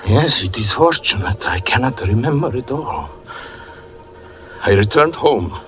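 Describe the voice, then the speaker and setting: An actor playing a grief-stricken magician who recounts a tragedy, speaking slowly and brooding, then crying out in anguish, in 1940s radio acting style. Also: male voice, medium pitch, lively intonation, lo-fi sound, natural voice. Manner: slow, brooding, breaking into anguished cries.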